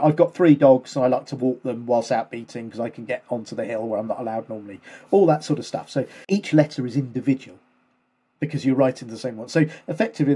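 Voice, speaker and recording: A man's voice: mid-range voice, warm tone, slightly rough. A man co-hosting a casual podcast chat over a call, rambling and correcting himself as he explains. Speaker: podcast co-host.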